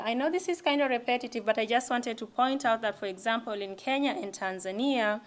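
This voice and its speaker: A young woman giving a casual library lecture, talking conversationally with frequent restarts. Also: on the high side. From East Africa. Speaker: language presenter.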